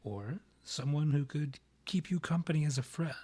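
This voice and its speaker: A man playing a haughty vampire monarch, a proud rival and ex-lover, with formal, measured, archly condescending phrasing in a romantic roleplay audio drama. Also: on the high side, expressive, dark tone, breathy edge. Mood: coaxing, exasperated.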